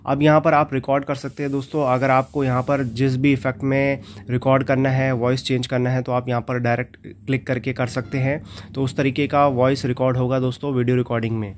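A man hosts a casual phone tutorial in a medium-pitched voice. He walks viewers through things step by step and keeps addressing them as friends. There is faint noise and room ambience behind him. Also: brisk. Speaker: tech tutorial host. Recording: steady background noise and ambience.

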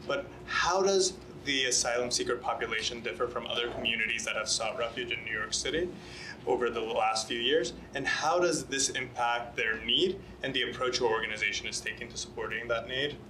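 A man's voice: mid-range voice, fairly quick, sharp tone, slight background noise, low-quality recording. A man moderating a panel, politely thanking the speakers and posing a prepared question.

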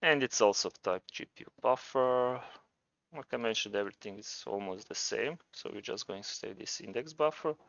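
A man's voice: medium pitch, normal speed, vocal fry, fairly bright tone, no room sound, highs cut off. A man with a Croatian accent presenting a programming tutorial, talking informally and thinking aloud as he demonstrates. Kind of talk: casual screencast tutorial narration.

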